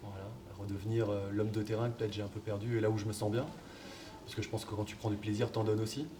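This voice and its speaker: A man, a football coach, answering interview questions in a relaxed, hesitant way with many fillers. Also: on the low side, quick pace. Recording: distant mic, background noise.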